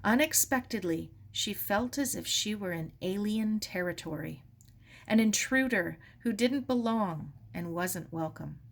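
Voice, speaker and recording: A woman narrates a storybook at an unhurried pace. Her voice sits at an average pitch, and she reads with measured expression, giving each phrase its own space. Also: animated, creaky. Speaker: story reader.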